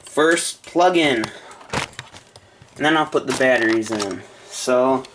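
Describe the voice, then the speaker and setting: A man chatting casually and haltingly as he unboxes a gadget for a video, partly talking to himself. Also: mid-range voice, animated. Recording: clear background noise, crinkling and clicks. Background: handling noise, crumpling and clicks.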